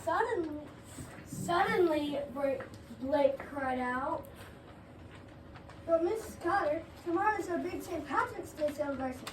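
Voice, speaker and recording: A woman's voice: very high voice, expressive, unhurried, long pauses. A woman reading a children's story aloud in a readers' theater. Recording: echoey room, distant mic, faint noise.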